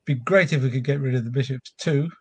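A man's voice: mid-range voice, fast talker, slightly rough. A man chipping in as a panelist in casual chat over a video call.

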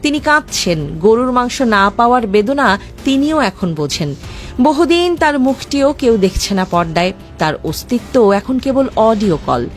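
Casting: female Bangladeshi narrator, high voice with animated swings, sardonic scripted satire over music. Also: unhurried.

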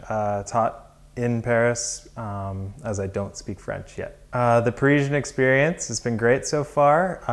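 Young American man, casual testimonial. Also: mid-range voice. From California, United States. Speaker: graduate design student. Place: indoor room with bookshelves. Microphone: lapel.

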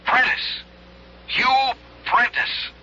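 Panicky high-pitched student on the phone. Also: male voice, big pitch swings, drawn-out words, bright, forceful tone, full character voice. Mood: desperate, panicked. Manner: stammering, agitated. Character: frightened, desperate young male student. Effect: telephone filter. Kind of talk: 1970s radio drama acting. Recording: tinny phone line on old broadcast.

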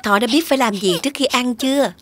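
A woman voicing a gently strict mother rabbit in a children's toy cartoon. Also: fairly high pitch, fast, natural voice. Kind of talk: children's toy animation dialogue.

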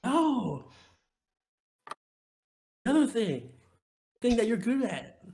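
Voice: medium pitch, big pitch swings, slow pace, darkish tone, pitched above the performer's own voice. A player voicing a nosy spaceship crewmate in a tabletop game, coy, playful and needling. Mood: coy, teasing. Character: nosy, teasing spaceship crewmate, persistently prying.

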